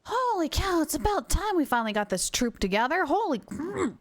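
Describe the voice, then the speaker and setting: High-pitched, expressive woman streamer. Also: brisk. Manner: expressive, exclamatory. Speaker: game streamer. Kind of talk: casual live playthrough reactions.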